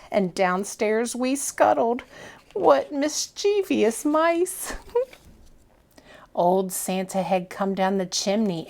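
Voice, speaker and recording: A woman reading a children's picture book aloud as a storytime reader, with expressive, deliberate, dramatic phrasing. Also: mid-range voice.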